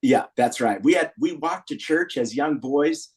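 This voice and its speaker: A man's voice: on the high side, a little slow. An American man in his late sixties telling personal stories as a podcast guest in an earnest, anecdotal way. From Berkeley, California, USA. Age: 60s. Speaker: podcast guest, founder of a men's community group. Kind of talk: personal storytelling in a podcast interview.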